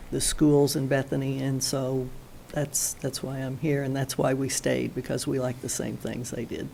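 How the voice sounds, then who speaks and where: A woman in her sixties from Oklahoma, a council candidate speaking deliberately and repeating phrases for emphasis. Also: low-pitched, gravelly, a little mellow, slight background noise. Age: 60s.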